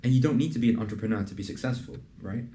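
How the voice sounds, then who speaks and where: A young man hosting a casual interview and pitching his program in an earnest, conversational way, with plenty of fillers. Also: very fast, warm tone, slight background noise. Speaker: host and program founder. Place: in a building in a city business district.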